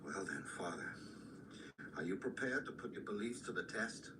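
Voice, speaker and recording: An actor playing an earnest schoolteacher in a radio drama, speaking in a terse, probing way. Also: male voice, fairly low pitch, animated, normal speed, lightly acted. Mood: urgent, earnest. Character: earnest convalescent male schoolteacher seeking help against vampires. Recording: distant, noisy replay of radio drama.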